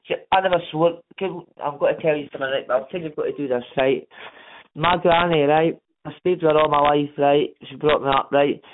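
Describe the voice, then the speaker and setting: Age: adult. Sex: man. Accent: Scottish. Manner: rambling, apologetic, ends sentences with "eh?". Pitch: somewhat high. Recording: narrow phone line. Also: fairly even intonation, a little fast, a little hiss or hum. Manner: rambling, apologetic, keeps adding "eh?" and "right?". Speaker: caller, prospective legal client. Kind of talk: casual phone call.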